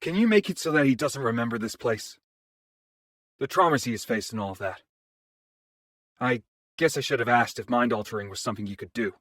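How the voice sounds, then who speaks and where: A man's voice: medium pitch, brisk, pauses often, fairly bright tone, no echo, natural voice. A man playing a reluctant, responsible young hero in a fantasy drama. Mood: sombre. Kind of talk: fantasy audio drama acting.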